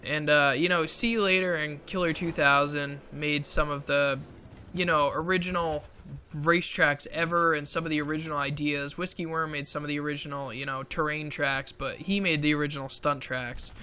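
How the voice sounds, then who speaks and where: A man giving casual commentary over gameplay footage, halting with many fillers, clearing his throat often, his voice strained from talking so long. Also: fairly high pitch, fairly even intonation, sharp tone, a little hiss or hum. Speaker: gaming video creator.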